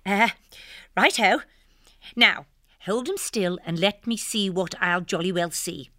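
A jolly posh hare. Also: female voice, mid-range voice, sharp tone, no echo, clean studio sound. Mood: playful. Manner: brisk, jolly posh slang. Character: plucky, jolly female hare playing mystic.

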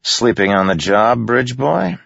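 A man voicing a cocky young nobleman in an audiobook, brisk and smirking. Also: rumbling low, lively intonation, fairly bright tone, no room sound, lo-fi sound, exaggerated voice. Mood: teasing.

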